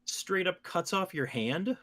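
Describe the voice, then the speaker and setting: A man's voice: high voice, lively intonation, a little slow, a crowd in the background. A man talking casually about video games as a podcast co-host.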